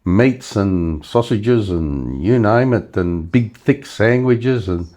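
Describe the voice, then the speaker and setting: An Australian man in his seventies with a fairly low voice tells his life stories unhurriedly. He wanders through his sentences, full of "you know" and "uh", restarting as he goes. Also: lively intonation, takes it slowly, mellow. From Western Australia. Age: 70s. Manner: rambling, many fillers and restarts. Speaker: interview guest. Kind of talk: casual storytelling interview.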